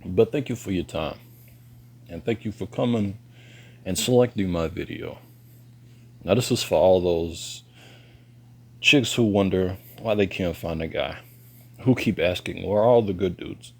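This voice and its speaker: A man, lecturing bluntly in a halting monologue to camera. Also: vocal fry, breathy edge. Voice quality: deep voice. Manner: slow and halting, pauses and restarts. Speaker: vlogger.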